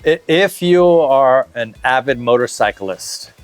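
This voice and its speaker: A man's voice: medium pitch, slow pace, dry recording. A man in his fifties, speaking casually and at length in an interview, with fillers and restarts. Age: 50s. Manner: relaxed, talkative, lots of fillers and restarts. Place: outdoor covered patio, tropical garden.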